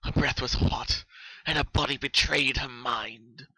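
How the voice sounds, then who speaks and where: A storyteller reads horror fiction with theatrical intensity. The voice is sharp and forceful, recorded dry without room sound. The delivery is scripted and dramatic. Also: medium pitch, steady tone, unhurried, slightly rough, no room sound, low-quality recording. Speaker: narrator.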